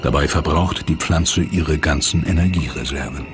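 A man's voice: rumbling low, moderate pace. A man reading scripted documentary narration as the narrator. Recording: music behind the voice. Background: music.

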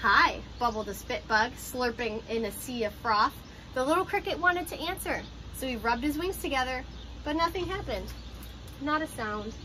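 A young woman with a high voice reads a picture book aloud for small children. Her delivery is expressive and aimed at young listeners. She is recorded outdoors on a porch, with clear ambient noise behind her. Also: normal speed. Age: young adult. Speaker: teacher reading picture books aloud. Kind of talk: children's storybook read-aloud. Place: outdoors on a porch by glass doors.

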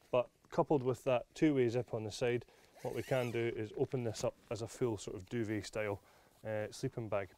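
A man's voice: mid-range voice, darkish tone. A man presenting a product demonstration in a studio, explaining features as he handles it. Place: indoor studio set with camping display.

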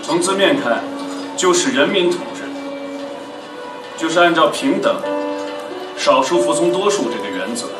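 An actor playing an earnest, idealistic revolutionary teacher in a film, speaking in a measured, solemn, oratorical way. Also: male voice, fairly high pitch, lively intonation, close to an everyday voice. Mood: earnest, stirring. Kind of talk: dramatic film speech. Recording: film played through echoey hall speakers, distant. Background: film music.

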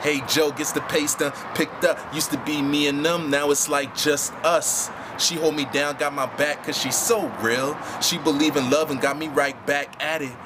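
A man's voice: on the high side, fairly even intonation, moderate pace, hardly any pauses. Man rapping outdoors over traffic noise. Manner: rhythmic rapping. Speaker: rapper. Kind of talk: rap verse. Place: outdoors by a house doorway.

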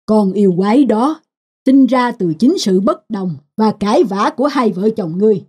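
A woman's voice: mid-range voice, animated, normal speed, a little mellow, husky. A woman playing a stern, wise goddess of love in a cartoon, solemn and admonishing. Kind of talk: Vietnamese cartoon dialogue.

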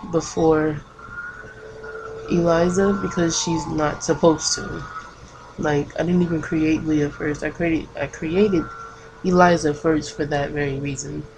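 A woman doing casual game commentary, keeping her voice hushed at night with bursts of excitement. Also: low-pitched, noisy background. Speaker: let's play gamer. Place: home at night.

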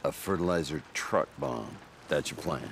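An actor playing a big, skeptical, loyal biker sidekick in a video game cutscene. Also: male voice, extremely low pitch, expressive, normal speed, slight background noise.

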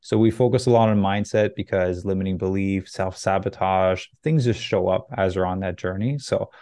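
Young male fitness coach, podcast guest. Low, gravelly fry, candid and easygoing, dry close-miked sound. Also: normal speed, dark tone, no echo. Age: young adult. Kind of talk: casual interview talk. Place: indoor home office, video call. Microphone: close desk microphone.

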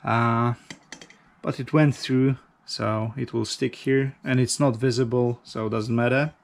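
Slow-talking man with a gravelly creak. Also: medium pitch, fairly even intonation. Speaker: motorcycle hobbyist, video host. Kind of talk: casual DIY vlog narration.

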